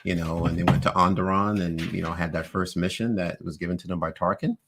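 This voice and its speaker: A low-pitched man co-hosting a relaxed live-stream recap. He talks loosely and animatedly, restarting sentences and leaning on "you know" as he walks through each scene. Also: fast talker. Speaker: podcast co-host. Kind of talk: casual live-stream chat recapping an episode.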